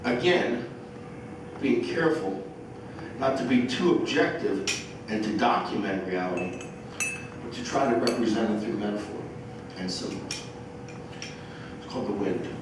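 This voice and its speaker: A middle-aged man reading his poetry aloud in a measured, deliberate way. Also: on the low side. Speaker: poet. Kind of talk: poetry reading with brief spoken introduction. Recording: echoey, distant microphone, noisy room.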